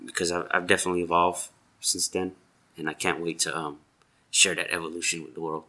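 A man's voice: deep voice, little pitch movement, fairly quick, bright, forceful tone. A man who is a chef, talking casually and animatedly, rambling with lots of fillers. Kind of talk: casual conversation.

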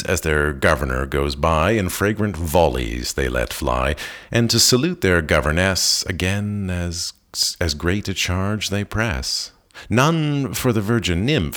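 A man giving a slow, expressive reading of a long poem, with measured phrasing and an occasional restart. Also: low voice. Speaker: poetry reader. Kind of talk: slow dramatic poetry reading.

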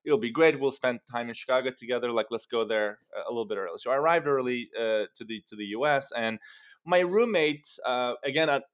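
A man in his thirties from Turkey, telling personal stories as an interview guest and acting out remembered dialogue in an animated manner. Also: medium pitch, a little fast, smooth. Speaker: interview guest, startup co-founder. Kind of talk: conversational personal storytelling.

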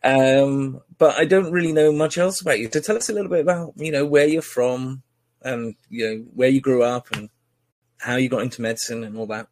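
A man's voice: mid-range voice, brisk, no room sound. A man hosting a casual podcast interview, chatty and teasing, with many fillers and restarts. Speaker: podcast host.

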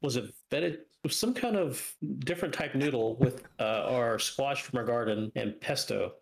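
A man's voice: medium pitch, normal speed, gravelly, husky, rough recording. A man co-hosting a podcast in casual, rambling chat, teasing and drifting into asides. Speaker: podcast co-host. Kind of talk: casual chat with live chat banter.

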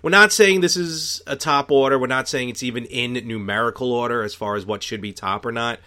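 A man's voice: mid-range voice, fairly quick, sharp tone. A man co-hosting a casual, unscripted podcast about retro games, rambling and correcting himself as he goes. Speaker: podcast co-host.

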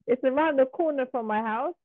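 A woman's voice: high voice, fairly quick, no room sound. British-accented woman over a tinny call line. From Uganda. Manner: candid, laughs easily. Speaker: musician guest. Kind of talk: casual interview chat. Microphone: video call. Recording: tinny phone-like call audio.

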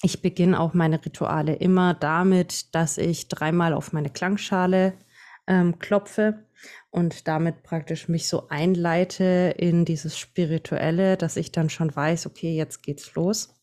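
Franconian woman in her twenties, mellow voice. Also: lowish voice, steady tone, a little slow. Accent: Franconian German. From Franconia, Bavaria. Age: 20s. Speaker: podcast guest. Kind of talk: casual podcast chat.

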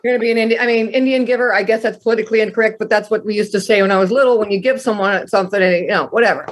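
A woman in her fifties, scratchy from a night of karaoke, telling stories in animated casual chat and swearing freely. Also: mid-range voice, rapid-fire. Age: 50s. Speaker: guest masseuse. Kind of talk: casual storytelling.

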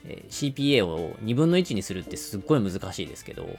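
A man explains things on a business podcast in a low voice with a swinging, animated melody. He moves along rapidly in long connected runs, conversational as a co-host. Faint music plays behind him.

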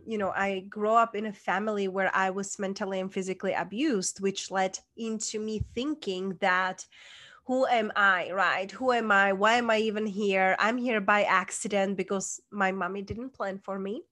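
A woman's voice: medium pitch, steady tone, a little slow, a bit bright. A woman with a Czech accent, a guest coach telling her personal story in an interview, earnest and emphatic. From Czech Republic.

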